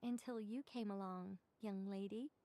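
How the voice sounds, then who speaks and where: A woman's voice: mid-range voice, fairly even intonation, moderate pace, dark tone, natural voice. A woman voicing a composed, witty woman author in a video game, speaking articulately with a conversational, lightly teasing manner. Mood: playful, explanatory. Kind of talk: scripted game dialogue.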